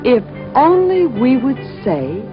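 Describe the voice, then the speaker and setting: Lady reading a kids story, highish voice that swoops around, slow, muffled sound. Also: very animated, over music. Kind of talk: expressive children's story narration. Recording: muffled, noisy old-sounding recording.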